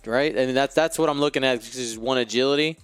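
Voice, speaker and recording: A man's voice: medium pitch, fast talker, smooth. A man co-hosting a live stream of a tabletop miniatures game, commentating in a chatty way and bantering playfully with viewers. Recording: close dry mic with faint music.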